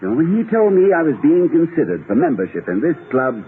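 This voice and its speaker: Through a thin, scratchy old radio broadcast comes a genteel middle-aged college president. He is eager and a bit pompous, stammering politely, and his pitch moves about freely. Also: big pitch swings, quick pace, narrow, tinny audio. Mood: chatty, pleased. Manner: hesitant, stammering, courteous, slightly pompous. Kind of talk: old-time radio situation comedy acting.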